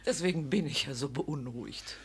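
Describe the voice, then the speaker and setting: An actress playing a shrewd, gossipy, affectionate elderly provost's wife in a radio drama, with a husky voice. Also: female voice, rumbling low, normal speed, exaggerated voice. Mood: suspicious, concerned. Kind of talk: German radio play acting.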